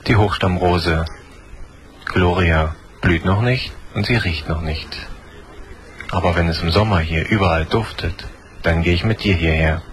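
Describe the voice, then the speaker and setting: A man's voice: low-pitched, unhurried, a little hiss or hum. A man in his twenties from Berlin, reciting a playful self-made dating video outdoors in a rose garden. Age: 20s.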